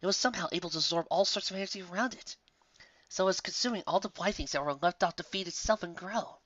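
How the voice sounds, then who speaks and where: A woman's voice: on the low side, fast, a bit bright, no room sound, dull audio, voice pushed up. A woman voicing an earnest, bookish young female unicorn in a storybook, with a hesitant, explanatory way of talking. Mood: sheepish, explaining.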